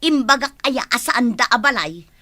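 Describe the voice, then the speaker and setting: A woman playing an uneasy, defensive grocery seller in an audio drama, conversational and expressive. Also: fairly high pitch, normal speed, dry recording.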